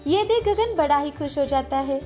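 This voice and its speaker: A woman narrates a children's moral story from a script. Her voice is very high for a woman. Faint music plays behind the narration. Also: lively intonation, normal speed, warm tone.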